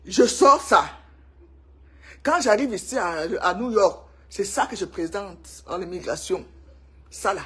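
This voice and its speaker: Woman, Cameroonian French accent, husky, emphatic, repetitive, casual gossip monologue. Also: medium pitch, unhurried. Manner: emphatic, excitable, repeats herself for effect. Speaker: vlogger.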